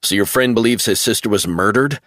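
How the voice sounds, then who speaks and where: A man voicing a steady, matter-of-fact man who talks a grieving friend through a crisis. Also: fairly low pitch, fairly quick, no room sound, clean studio sound, lightly acted. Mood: calm concerned. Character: steady, blunt adult man, concerned friend.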